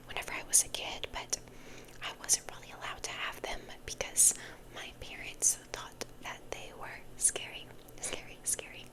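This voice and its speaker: A young woman hosting ASMR, rambling casually in a whisper and repeating words and phrases for effect. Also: low-quality recording. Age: young adult. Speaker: ASMR video host.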